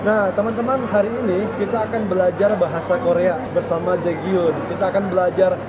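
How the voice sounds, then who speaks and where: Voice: mid-range voice, steady tone, fairly quick. An Indonesian host with an Indonesian accent chats casually and teasingly, leading a group conversation. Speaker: vlog host and language teacher. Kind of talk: casual hosting and group chat. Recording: loud crowded public space, heavy noise. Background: busy mall chatter.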